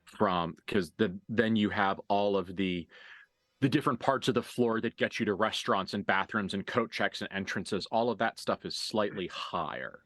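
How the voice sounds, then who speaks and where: A man working as game master, improvising narration for a tabletop roleplaying game with deliberate, halting phrasing and dramatic emphasis. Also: fairly low pitch, fairly bright tone, no echo. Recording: dry voice over background music.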